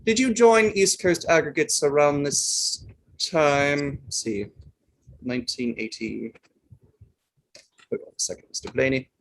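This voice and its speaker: A man reading a courteous barrister in a courtroom play, putting formal, halting questions. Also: a little high, rough recording. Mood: patient, formal. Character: courteous male barrister leading his own witness. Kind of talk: table read of a courtroom play.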